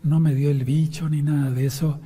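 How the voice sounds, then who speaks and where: Hoarse male preacher in a reverberant hall. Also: fairly high pitch, flat delivery, moderate pace, dark tone. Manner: didactic, addresses listeners directly with questions and instructions. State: hoarse voice from sinusitis after an operation. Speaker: preacher and Bible teacher. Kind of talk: sermon with scripture reading and commentary. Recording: long reverberant hall sound.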